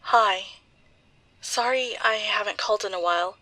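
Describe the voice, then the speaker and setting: A woman playing a grieving, bitter young woman in a halting, intimate audio drama monologue. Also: mid-range voice, sharp tone, dry recording, natural voice, low-quality recording. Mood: sad, subdued. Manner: halting, hesitant, slow. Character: grieving young woman, bitter, leaving voicemail for lost close friend.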